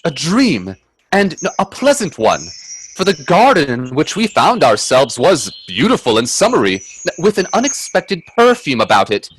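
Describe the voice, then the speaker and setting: An actor playing a timid, wonder-struck gentleman companion in a radio play, with genteel, hesitant delivery. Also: fairly high pitch, wildly swooping pitch, moderate pace, a little hiss or hum, low-quality recording. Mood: wondering, narrating. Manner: hesitant, stammering in dialogue, genteel phrasing. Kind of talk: radio drama acting and first-person narration. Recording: husky dry close audio from video-call performance.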